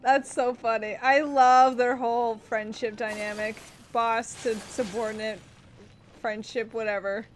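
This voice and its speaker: A woman's voice: fairly high pitch, level tone, unhurried, slight background noise. A woman giving casual, gushing commentary as she plays through a video game. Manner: gushing, giggly reactions. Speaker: gaming video creator.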